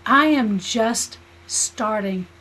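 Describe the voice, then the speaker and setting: American woman, 50s, slightly high voice, earnest podcast guest explaining ideas conversationally. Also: animated, extremely slow, fairly bright tone, slight background noise. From United States. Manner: earnest, explanatory, seeks agreement with "right?". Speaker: guest, spiritual healer and teacher.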